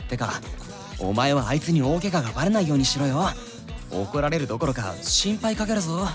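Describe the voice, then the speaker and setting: Man acting lively, higher-voiced young partner. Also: a little fast. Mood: upbeat, teasing. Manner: casual, blunt, expressive. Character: lively, caring, rough-spoken young male investigator partner. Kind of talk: audio drama acting. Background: music.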